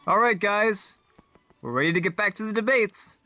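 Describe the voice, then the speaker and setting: A man playing a peppy, bombastic debate moderator in a comedy sketch, with an animated game-show announcer delivery. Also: high-pitched, moderate pace, no room sound, soft background music, pitched above the performer's own voice, exaggerated voice. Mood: upbeat, showy. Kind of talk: game-show style hosting.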